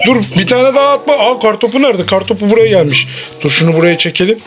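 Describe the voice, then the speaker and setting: A woman's voice: medium pitch, fast talker, faint music behind. A woman improvising playful pretend play with children, exclaiming and repeating her instructions.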